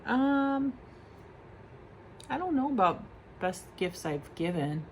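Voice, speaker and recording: A woman's voice: on the high side, big pitch swings, takes it slowly, a little mellow, a little hiss or hum. A woman in her forties chatting casually to camera at home, answering personal questions with lots of ums and small laughs. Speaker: beauty vlogger.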